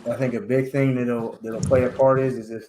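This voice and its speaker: A man hosting a casual chat about sports and faith, talking in halting bursts with many fillers and restarts. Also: medium pitch, little pitch movement, background noise. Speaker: podcast host.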